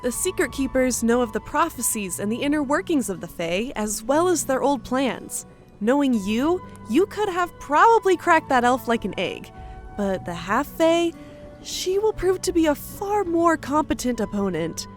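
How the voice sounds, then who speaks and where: A woman voicing a calm, knowing female ally who explains lore in a measured way. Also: high-pitched, soft background music. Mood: calm, explaining.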